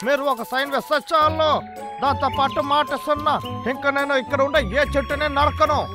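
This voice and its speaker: An actor voicing a scheming, fawning logger villain with a short temper, in an exaggerated cartoon dub with excitable salesman patter. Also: very high voice, fairly quick. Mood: wheedling. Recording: music behind the voice.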